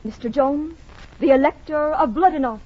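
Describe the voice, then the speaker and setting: Actress as flirtatious society Duchess, high voice, gushing and theatrical, muffled 1940s radio sound with faint hiss. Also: female voice, normal speed, close to an everyday voice. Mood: formal, excited. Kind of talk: 1940s radio comedy acting.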